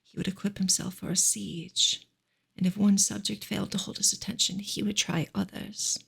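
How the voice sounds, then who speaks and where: A woman reads narrative prose in a hushed ASMR style. Her whisper is extremely breathy and airy, with a strong crackly, fried grain. The delivery is gentle, measured and unhurried. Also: mid-range voice, little pitch movement. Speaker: ASMR reader of a classic novel. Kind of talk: whispered ASMR audiobook reading.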